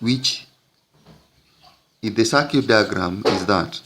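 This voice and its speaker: A man teaching physics, narrating an explanatory lesson in deliberate, halting phrases with frequent breaks. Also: on the low side, noisy background.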